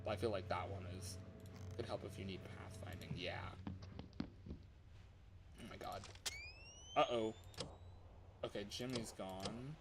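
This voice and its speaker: A man giving live, excitable gaming commentary as he plays, thinking aloud as he goes. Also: fairly low pitch, slow pace, pauses often, heavy background noise. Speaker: livestreamer playing a fangame.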